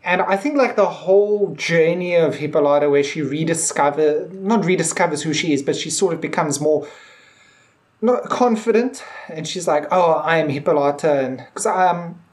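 A woman's voice: low voice, normal speed, a little mellow. A woman co-hosting a podcast, talking casually and feeling her way through her thoughts in hesitant, meandering phrases. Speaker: podcast co-host. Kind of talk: casual discussion of a TV episode.

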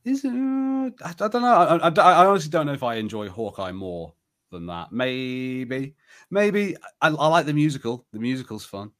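A man co-hosting a podcast, chatting casually through a review and haltingly thinking aloud as he hedges. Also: medium pitch, lively intonation, fairly bright tone. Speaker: podcast co-host.

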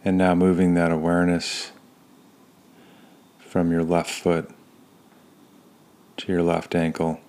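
Deep, gravelly male meditation guide. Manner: calm, soothing, pausing between short phrases. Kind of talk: guided body scan meditation.

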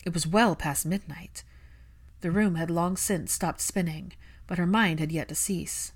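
A woman's voice: fairly low pitch, measured pace, slightly rough. A woman reading scripted fiction aloud as an audiobook narrator.

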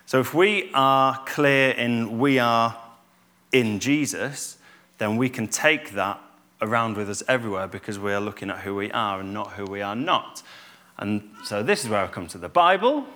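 A man, giving a calm, conversational church talk with fillers and restarts. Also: on the low side, normal speed. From Yorkshire, England. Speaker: church speaker.

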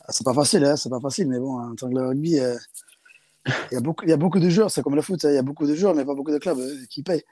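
Give me a young man from South Africa, speaking hesitant French in a casual interview, with a mellow, dark tone. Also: fairly high pitch, very fast, no room sound. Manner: hesitant, many fillers and restarts in second-language French. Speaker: interviewee, rugby player.

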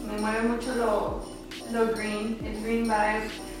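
A woman's voice: a little high, completely flat delivery, slow pace, distant mic. A young woman from Ciudad Juárez with a northern Mexican accent, chatting in a playful, slangy way with bits of English. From Ciudad Juárez, Chihuahua, Mexico. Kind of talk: casual chat with English slang mixed in. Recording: very echoey room, off mic, music behind.

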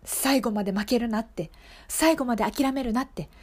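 A woman's voice: on the high side, moderate pace, a little mellow, close to an everyday voice. A woman playing an earnest young female schoolteacher in an improvised radio monologue, telling her story in a confiding, emphatic way. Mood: reflective, earnest.

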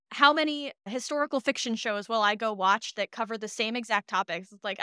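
A woman chatting casually as an interview guest, an animated storyteller who slips into acting out imagined dialogue. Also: a little high, smooth, dry recording, clean studio sound. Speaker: podcast producer, interview guest.

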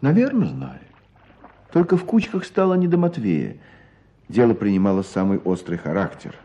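An actor playing a storyteller in a radio play, narrating village hardship with expressive, deliberate phrasing. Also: male voice, on the low side, lo-fi sound. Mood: grave.

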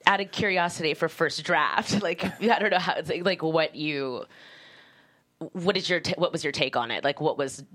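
A woman from Canada speaking as a screenwriter in a casual panel interview, thinking aloud in an informal, halting way. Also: sits low, fairly bright tone. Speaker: screenwriter, guest.

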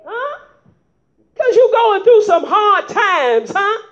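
A woman preaching a sermon in folksy vernacular, rising into shouts and prodding the congregation with repeated calls of "huh?" in a dull lo-fi recording. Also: very high voice, big pitch swings, slow, slight background noise. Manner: emphatic call-and-response preaching with frequent "huh?" prompts.

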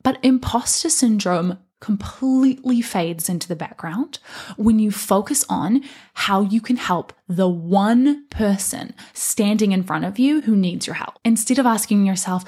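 Young woman coach on her podcast, breathy and husky, earnest pep talk, mid-pitch. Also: unhurried, mellow. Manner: earnest, encouraging, emphatic. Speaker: podcast host and life coach. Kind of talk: solo motivational podcast talk.

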